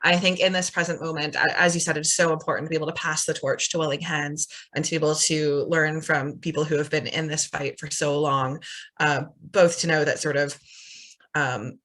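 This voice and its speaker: A woman from Canada with a Canadian accent hosts an interview over a video call. Her voice is bright and forward. She speaks earnestly, with many ums and small restarts. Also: deep voice, flat delivery, fairly quick. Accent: Canadian English. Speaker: interviewer, host. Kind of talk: casual interview.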